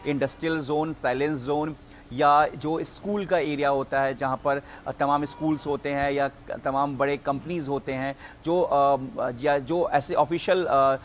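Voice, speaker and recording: Guy reporter doing a live report, bit high pitched, keeps repeating and stumbling, faint noise behind him. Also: steady tone. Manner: halting, repetitive explaining. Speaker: news reporter. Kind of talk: unscripted live news report.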